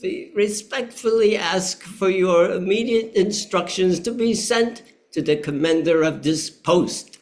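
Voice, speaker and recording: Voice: mid-range voice, a little slow, few pauses, a little mellow, natural voice. A performer playing an indignant, loyal Union merchant in a historical play reading, speaking in a formal, declamatory way. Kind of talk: play table reading, period speech.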